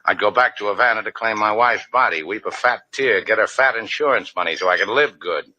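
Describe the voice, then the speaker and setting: An actor playing a tough, wisecracking American adventurer in 1950s radio drama style. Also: male voice, lowish voice, brisk, pushed and bright, no room sound, low-quality recording. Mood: teasing, tough. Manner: wisecracking, hard-boiled. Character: tough, wry male American adventurer in Havana.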